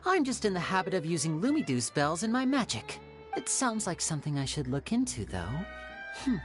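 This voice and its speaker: Suave, low-voiced boyish magician. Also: female voice, very animated. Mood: gracious. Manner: smooth, measured, showmanlike. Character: suave, theatrical young male stage magician. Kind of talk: anime game voice acting. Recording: music playing behind.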